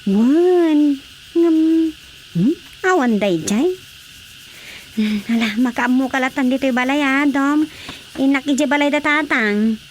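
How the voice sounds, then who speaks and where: A woman's voice: sits high, slow, long pauses, slight background noise. A woman playing a worried married daughter in a Filipino radio drama comedy, speaking expressively with a Filipino accent. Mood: worried, concerned. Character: worried married daughter, concerned for her quarreling parents.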